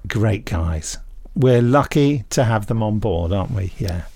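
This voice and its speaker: A man's voice: fairly low pitch, lively intonation, a little slow, vocal fry, over loud music. A man co-hosting a podcast, asking a guest questions in a chatty, jokey way. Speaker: podcast co-host. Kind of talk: casual interview chat.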